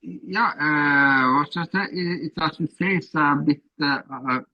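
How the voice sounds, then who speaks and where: Hesitant Norwegian drummer, dull audio. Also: male voice, fairly high pitch, unhurried, no echo. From Norway. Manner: hesitant, filler-heavy, plain-spoken. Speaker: drummer, interview guest. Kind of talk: casual interview answers.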